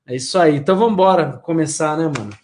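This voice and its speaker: A man's voice: fairly high pitch, fast, a little mellow, slight background noise. A male host of a live video-call interview show, casual and chatty, reading out viewers' comments. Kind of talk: live-stream interview hosting.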